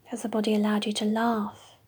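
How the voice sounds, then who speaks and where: A woman leading a guided meditation in a slow, soft, mostly whispered voice. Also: medium pitch, monotone, breathy edge.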